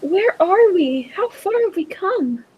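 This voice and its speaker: A woman's voice: very high voice, very animated, unhurried, dull audio, full character voice. A woman acting an anxious but brave companion to a time-travelling professor, dramatic and literary in an old-fashioned 1940s radio play style. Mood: shaken, distressed.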